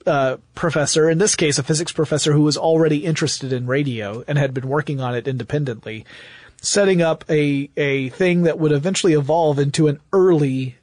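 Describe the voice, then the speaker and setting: A man's voice: fairly high pitch, fairly quick, darkish tone, no room sound. A man hosting a podcast, explaining and telling stories in a conversational way. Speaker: podcast host.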